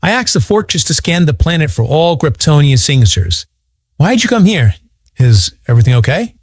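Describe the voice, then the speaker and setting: Guy doing friendly young superhero, audio drama, lively pitch, earnest n caring, close dry mic. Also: moderate pace, slightly rough, no room sound, heavily acted.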